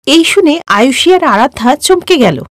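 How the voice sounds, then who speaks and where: A very high-pitched woman narrates a scripted cartoon tale. The recording is dry and close, with no room sound. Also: expressive, moderate pace, dry recording.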